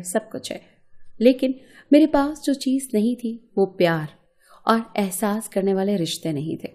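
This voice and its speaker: A woman's voice: medium pitch, mellow. A woman reading a scripted, emotional first-person story as its narrator.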